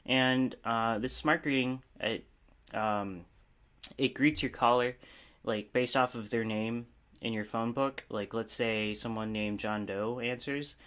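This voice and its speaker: A man's voice: mid-range voice, steady tone, takes it slowly, a bit bright, clean tone. A man giving a casual, unscripted review as a video host, rambling informally with a self-deprecating manner.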